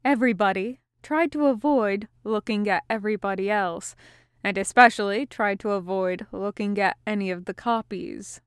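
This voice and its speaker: A woman's voice: mid-range voice, normal speed, clear, resonant tone, dry recording, studio quality. A woman reading a scripted story as an audiobook narrator. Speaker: fan fiction audiobook reader.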